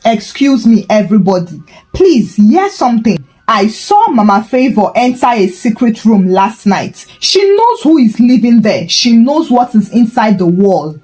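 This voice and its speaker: A woman's voice: a little high, sing-song, measured pace, warm tone, rough recording. A woman storyteller voicing an observant, frank male electrician who speaks in earnest declarations in a folktale. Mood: earnest warning.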